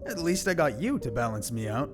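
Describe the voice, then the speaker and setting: A young man playing a brash, foul-mouthed high school boy with a grudge in an audio drama, scornful and emotive. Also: sing-song, brisk, music in the background, lightly acted. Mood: fond, laid-back.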